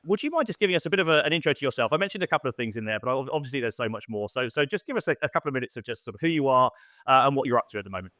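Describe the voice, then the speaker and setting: A man hosting an interview podcast, talking quickly with many uhs and restarts. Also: mid-range voice, expressive, sharp tone, no echo, studio quality. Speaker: podcast host.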